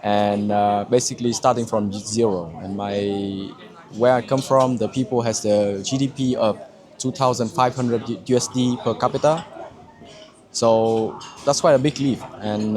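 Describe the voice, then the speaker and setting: A man, giving a candid testimonial with frequent restarts as he searches for words. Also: mid-range voice. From Vietnam. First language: Vietnamese. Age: 20s.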